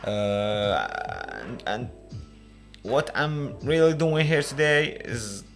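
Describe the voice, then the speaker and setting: An Algerian man hosts a casual podcast interview at a slow pace. His voice sits at a middle pitch and he speaks haltingly, with faint music behind him. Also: expressive. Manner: halting, searching for words.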